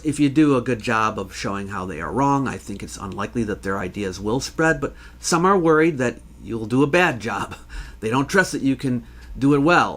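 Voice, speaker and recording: A man hosting an educational talk, answering a question in a measured, conversational manner with rhetorical questions. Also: medium pitch, a bit bright. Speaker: host, history educator.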